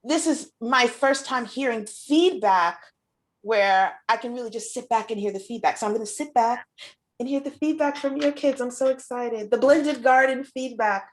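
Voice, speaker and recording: A woman's voice: a little high, moderate pace. A woman hosting a casual, enthusiastic interview over a video call. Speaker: host, children's book author.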